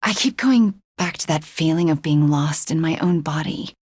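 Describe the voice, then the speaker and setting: A woman voicing a haunted young sorceress superheroine in a video game, earnest and wry, with a soft, breathy voice. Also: sits low, normal speed, dry recording, studio quality. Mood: confiding.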